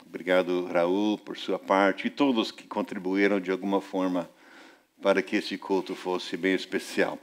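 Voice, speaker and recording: A man preaching a sermon as a visiting speaker, with a Portuguese accent that is not from Portugal, speaking earnestly and rising in pitch for emphasis. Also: level tone, normal speed. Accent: Brazilian-influenced Portuguese, non-Portuguese. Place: church service.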